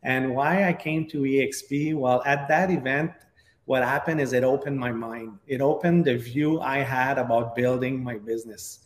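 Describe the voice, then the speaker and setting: A man's voice: medium pitch, moderate pace. Middle-aged Quebec French man, echoey room. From Quebec, Canada. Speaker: podcast guest, real estate agent. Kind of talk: conversational interview storytelling.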